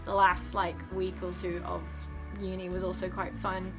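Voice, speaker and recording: A woman's voice: lowish voice, completely flat delivery, normal speed, poor audio. A young woman vlogging casually from her living room, talking in an informal, candid, rambling way. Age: young adult. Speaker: student vlogger. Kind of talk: casual vlog chat to camera. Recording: music playing behind. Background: music.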